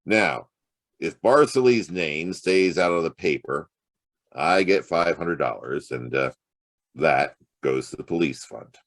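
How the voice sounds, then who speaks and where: An actor playing a wry, streetwise private detective in a hard-boiled audio drama, with a sardonic, conversational delivery. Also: male voice, a bass voice, a little slow, a bit bright, heavily acted. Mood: earnest bargaining. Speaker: actor narrating and playing the lead.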